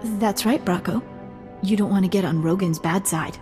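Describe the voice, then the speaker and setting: Woman as a soft, coy young damsel. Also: medium pitch, normal speed, over music, close to an everyday voice. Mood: relieved. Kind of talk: video game voice acting.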